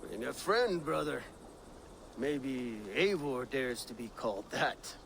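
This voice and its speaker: A man's voice: medium pitch, animated, slow, creaky, fairly bright tone, slight background noise, heavily acted. A man playing a dry, needling Viking warrior in a video game, terse and wry. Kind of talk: dramatic video game acting.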